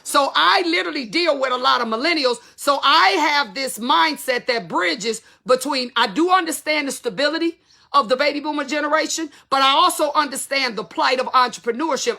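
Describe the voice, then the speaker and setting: A woman's voice: high voice, lively intonation, brisk, creaky, pushed and bright. A woman of fifty giving blunt, emphatic salon business advice in a casual, colloquial way. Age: 50s. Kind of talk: casual talk to camera.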